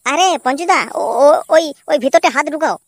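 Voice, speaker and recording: A performer voicing an excitable, crude petty crook in a slapstick cartoon, exclaiming and repeating words. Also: sits high, a little fast, slight background noise. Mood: scheming, eager. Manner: exclamatory, repetitive, swearing, bantering. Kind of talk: comic cartoon dubbing in colloquial dialect.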